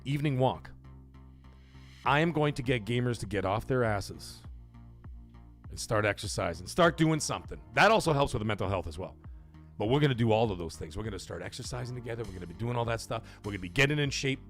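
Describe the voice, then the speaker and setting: A man hosting a casual livestream, loudly thanking chat supporters between serious talk. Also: mid-range voice, lively intonation, quick pace, soft background music. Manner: hyped, shouts out chat supporters. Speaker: livestream host.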